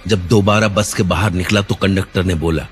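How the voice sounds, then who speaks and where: A man narrating a cartoon horror story in a suspenseful, measured storytelling manner. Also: low voice, fairly even intonation.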